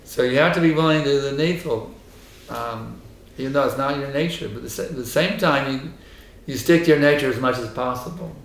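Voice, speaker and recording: A hoarse man giving a temple lecture and answering questions, emphatic and insistent, repeating his points. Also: mid-range voice, brisk, slight background noise. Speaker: spiritual teacher giving a lecture. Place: temple hall. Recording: echoey hall.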